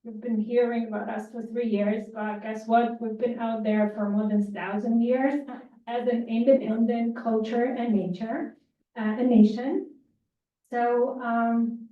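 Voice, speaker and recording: A woman from Ukraine with a Ukrainian accent, giving a lively, informal conference presentation and often correcting herself as she talks. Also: fairly high pitch. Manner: lively, informal, self-correcting, moves around while talking. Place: conference room. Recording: distant, dull, echoey room recording.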